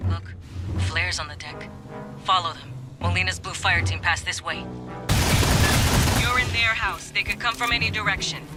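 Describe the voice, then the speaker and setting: An actor playing a tough, foul-mouthed marine squad leader in a video game, giving terse, commanding orders. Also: male voice, high voice, normal speed, long pauses, natural voice. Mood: grim, commanding. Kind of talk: scripted video game dialogue. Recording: loud music behind the voice.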